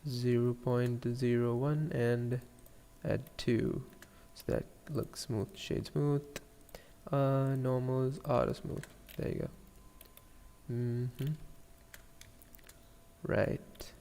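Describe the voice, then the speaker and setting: A man with a Sri Lankan accent giving a casual software tutorial, thinking aloud in a hesitant murmur as he works. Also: medium pitch, steady tone, very slow, vocal fry, in a large hall, slight background noise. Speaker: 3D animation tutorial streamer.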